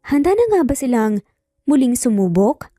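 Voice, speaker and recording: A woman narrating a scripted dramatic story in a measured, expressive way. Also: fairly high pitch, no room sound, studio quality, faint music behind.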